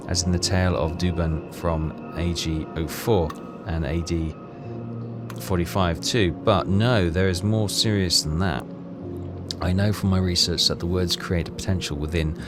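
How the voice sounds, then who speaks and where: A man doing casual game commentary and reading text aloud, haltingly, muttering his thoughts as he goes. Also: deep voice, fairly even intonation. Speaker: video game walkthrough player. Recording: voice under loud game music. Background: loud game music.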